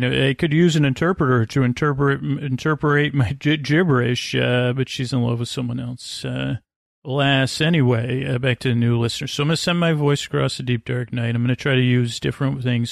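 Creaky, meandering man hosting a bedtime podcast. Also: medium pitch, fairly even intonation, no room sound, clean studio sound. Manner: meandering, digressive, halting with self-interruptions; lulling, gentle. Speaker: podcast host. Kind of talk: rambling, soothing bedtime monologue.

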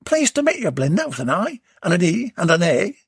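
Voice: mid-range voice, very animated, brisk, slightly rough, no echo, clean studio sound, voice pushed up, exaggerated voice. A storyteller voicing a jolly, cheeky male rubbish remover who banters and teases. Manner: chirpy, teasing banter.

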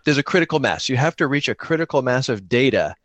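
A man with a mid-pitched voice talks casually in a dry, close recording. He explains things in an animated, conversational way, doubling up words and phrases to drive his points home. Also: fast, no room sound. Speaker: podcaster and solo tabletop role-player. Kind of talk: casual chat about tabletop gaming.

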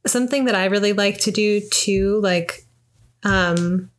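A young woman talks in a relaxed, reflective way on a podcast. She speaks softly and searches for her words with many fillers. Her pitch sits in a middle range. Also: unhurried. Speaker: podcast co-host. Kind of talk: casual conversation about astrology.

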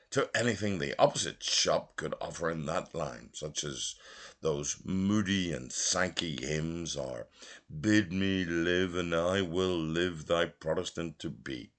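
A man reads literary prose aloud expressively, in measured phrases with deliberate pauses. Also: sits low, fairly bright tone. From Belfast, Northern Ireland. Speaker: audiobook reader, lecturer.

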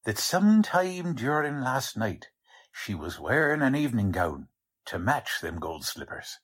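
A male actor plays a gruff police sergeant in a 1940s-style radio drama. His husky voice takes its time but swings widely in pitch. He is blunt, skeptical and talks in folksy cop slang. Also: very animated, unhurried, fairly bright tone, heavily acted. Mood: matter-of-fact. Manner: blunt, folksy working-class cop talk.

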